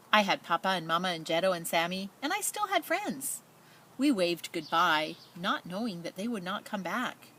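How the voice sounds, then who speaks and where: A woman reading a children's picture book aloud in a measured, expressive storytelling manner, with spoken asides. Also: mid-range voice, a little hiss or hum. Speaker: storybook reader.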